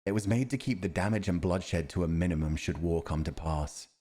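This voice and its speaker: A man's voice: low-pitched, fairly even intonation, a little fast, mellow. A man acting a principled, earnest army commander advising a monarch in a fantasy audio roleplay, speaking in a formal, emphatic, righteous way. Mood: resolute.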